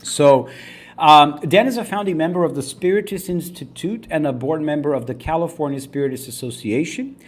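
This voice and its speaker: A man hosting a podcast, introducing his guest and asking questions in an upbeat, enthusiastic, conversational way. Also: a little high. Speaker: podcast host. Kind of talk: casual interview conversation.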